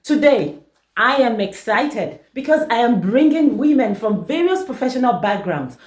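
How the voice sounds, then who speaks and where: A woman's voice: fairly high pitch, big pitch swings, moderate pace, reverberant. A woman from Nigeria, an online business mentor, hosting a promotional video in an upbeat, persuasive way. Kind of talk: promotional testimonial address.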